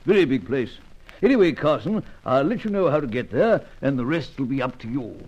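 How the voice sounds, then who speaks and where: A man's voice: mid-range voice, animated, brisk, darkish tone, close to an everyday voice. An actor playing a genial upper-class British spymaster in a vintage radio drama, hemming politely like an old boy. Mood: genial briefing. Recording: muffled old radio recording.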